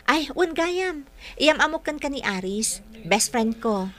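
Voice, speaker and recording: A woman's voice: high voice, big pitch swings, measured pace, fairly bright tone, heavily acted. A woman with an Ilocano accent acting a confident, breezy, persuasive young woman in a radio drama. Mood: excited.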